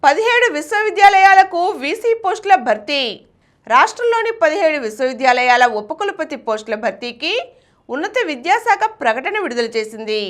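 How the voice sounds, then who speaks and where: A woman's voice: high voice, very animated, a little fast. A woman news reader delivering a scripted bulletin in a formal, emphatic manner.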